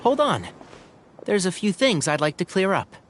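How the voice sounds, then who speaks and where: A man's voice: sits high, very animated, moderate pace, slight background noise. An actor playing an inquisitive young male companion who asks direct questions in a video game. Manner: probing, direct.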